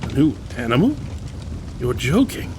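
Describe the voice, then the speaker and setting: With rain behind, an earnest young gentleman hunter in an audio drama. His pitch is medium but moves widely, giving a principled, incredulous delivery. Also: big pitch swings, a little slow, noisy background. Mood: puzzled, incredulous. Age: young adult.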